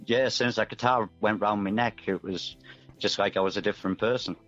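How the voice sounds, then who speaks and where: A man's voice: on the low side, fairly even intonation, a little fast, fairly bright tone. A man talking as a musician guest in a casual interview, earnest, reflective and a little hesitant. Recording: dull band-limited line, music underneath.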